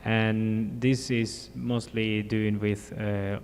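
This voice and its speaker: A man from Colombia speaking as a panelist at a conference, explaining his work plainly with some halting fillers. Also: lowish voice, little pitch movement, darkish tone. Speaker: panelist, applied scientist. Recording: hall reverb with faint ambient noise.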